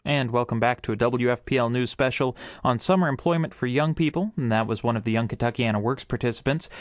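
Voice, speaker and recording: Man, mid-pitched voice, radio news host, call-in special, close dry studio sound. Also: no room sound.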